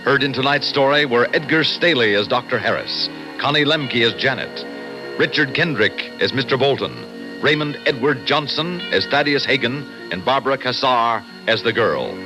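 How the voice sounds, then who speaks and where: A man announcing an old-time radio series in a formal, deliberate, dramatic scripted style. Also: medium pitch, expressive, over music.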